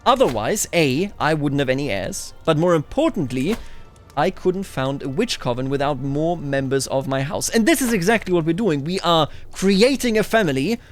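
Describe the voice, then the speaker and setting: A man's voice: fairly high pitch, expressive, normal speed, faint music behind. A man hosting a game playthrough, musing aloud and reading in-game text with playful theatrical flair. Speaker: host of a let's play series.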